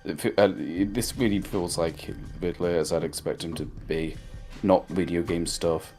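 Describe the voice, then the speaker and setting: A man with a low voice talks through a review in a loose, conversational way. He is opinionated and wanders through his points as a co-host, with faint music behind him. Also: fairly even intonation, moderate pace, dark tone. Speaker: co-host of a cartoon review show.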